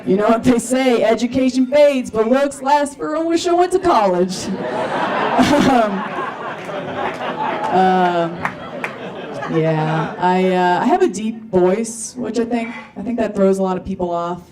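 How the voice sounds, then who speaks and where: A young woman from Oregon works a comedy club stage, her jokes dry and self-mocking and broken up with um and uh. Her voice comes through a stage mic from a little way off, with the audience laughing loudly around her. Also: medium pitch, expressive, takes it slowly, recorded from a distance, crowd noise, noisy background. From Oregon, USA. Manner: dry, self-deprecating, with many ums and uhs. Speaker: stand-up comedian. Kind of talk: stand-up comedy routine.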